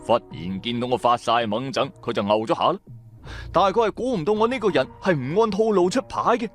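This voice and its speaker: A man with a Cantonese accent narrating an audio drama in a theatrical, expressive storytelling style. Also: mid-range voice, brisk, soft background music.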